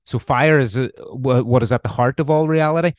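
A man in his forties with a Belfast accent talks through philosophy as an interview guest. He pauses a lot, filling the gaps with ums and restarting sentences. His voice sounds dry and close, with little room around it. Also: medium pitch, dry recording. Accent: Northern Irish, Belfast. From Northern Ireland. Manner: hesitant, many ums and restarts. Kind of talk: conversational explanation.

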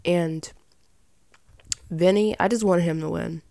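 Slightly raspy young woman, lowish voice, dry sound. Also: steady tone, slow pace, mellow, no room sound. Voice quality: slightly raspy with vocal fry at sentence ends. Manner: informal, rambling, opinionated. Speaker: podcast host. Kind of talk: casual chatty solo commentary.

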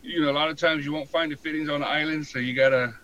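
An American man in his forties, telling his story casually in an interview with a halting, colloquial delivery full of "you know". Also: on the high side, fairly even intonation, bright, forceful tone, lo-fi sound, a little hiss or hum. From San Diego, born in Hawaii. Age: 40s. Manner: halting, colloquial, frequent 'you know'. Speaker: podcast guest, fire protection inspector.

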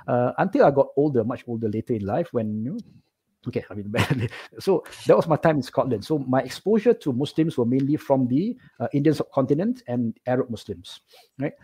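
A middle-aged Singaporean man speaks very quickly in a soft-edged, mellow voice with some huskiness. He tells his own stories in a casual, animated way, like a guest in conversation. He keeps checking in with the listener as he goes. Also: mid-range voice, husky. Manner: animated, checks in with "right?" often. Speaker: interview guest. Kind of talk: casual personal storytelling.

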